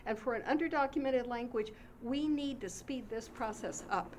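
A woman giving an academic conference presentation on her research in a hesitant way, with pauses between phrases. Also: on the high side, a little hiss or hum.

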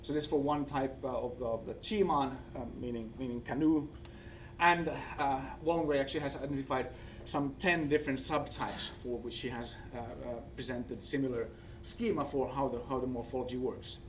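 A man with a Finnish accent presents research in a halting way, often searching for his next word. His voice sits at an average male pitch. It is picked up from some distance from the microphone, with faint noise behind it. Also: steady tone. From Finland. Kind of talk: conference presentation with slides.

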